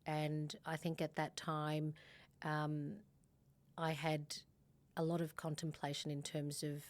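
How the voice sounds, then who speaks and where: A middle-aged Australian woman with a notably low voice, speaking as a podcast guest. She talks in a relaxed, chatty way, full of ums and self-corrections as she recalls her story. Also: slow. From Sydney, Australia. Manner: warm, chatty, many fillers and restarts. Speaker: guest, family law partner. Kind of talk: casual podcast interview.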